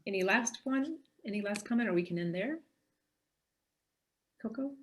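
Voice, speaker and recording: A woman moderating a panel briskly, posing questions to guests. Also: mid-range voice, lively intonation. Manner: brisk, wrapping up.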